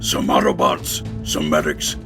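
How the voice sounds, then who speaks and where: A man's voice: rumbling low, level tone, measured pace, loud music behind the voice. A man voicing a cold, curt robot warrior in a sci-fi audio drama. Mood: cold menace.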